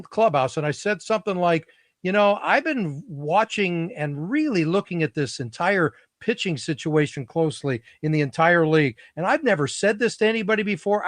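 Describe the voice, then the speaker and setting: A middle-aged man hosting an interview, chatty and eager, quick to react and laugh. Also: sits high, no echo, clean studio sound. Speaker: podcast host. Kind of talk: casual interview conversation.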